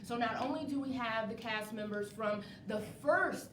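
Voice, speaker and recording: An enthusiastic woman hosts a live interview event in a fairly high voice. She sounds encouraging and repeats phrases for emphasis. She is picked up from a distance in an echoey venue. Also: moderate pace. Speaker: host of a live community interview show. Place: indoor venue with a live studio audience. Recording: roomy, distant mic with clear rumbling noise.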